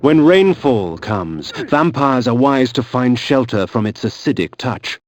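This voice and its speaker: A man's voice: mid-range voice, lively intonation, a little slow, dry recording, dull audio, lightly acted. An actor playing a brooding, vengeful vampire nobleman, narrating a game in a theatrical, grave and ornate manner. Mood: grave, solemn. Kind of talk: dramatic scripted game narration.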